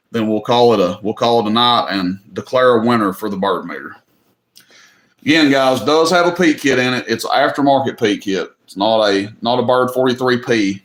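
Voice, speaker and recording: A man's voice: mid-range voice, fairly bright tone, roomy sound. A middle-aged man with a Southern accent from Tennessee, hosting a live online auction in a folksy, joking way. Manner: folksy, joking, drawling, says y'all. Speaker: livestream host running an auction. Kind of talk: casual livestream chat.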